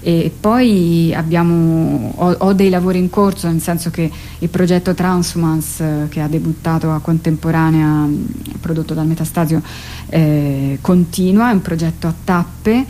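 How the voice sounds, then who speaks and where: Female radio guest, theatre artist, lowish mellow voice, hesitant conversational delivery, slight noise. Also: steady tone, moderate pace. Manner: hesitant, searching, thinking aloud. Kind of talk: radio interview, reflective conversational talk. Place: radio interview.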